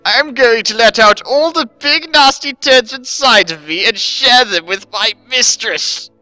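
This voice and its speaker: A man voicing a devoted, eager young servant girl in a slow, drawn-out, fawning manner for a dramatic story reading. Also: very high-pitched, pushed and bright, no room sound, pitched above the performer's own voice, exaggerated voice, low-quality recording. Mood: eager, excited. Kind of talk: dramatic reading of fan fiction.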